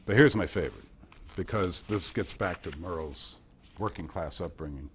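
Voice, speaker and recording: A man giving an informal history lecture at a club meeting in a halting manner, recorded by an amateur. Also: fairly low pitch. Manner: halting, with pauses and quoted passages read aloud. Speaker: guest speaker at a club meeting.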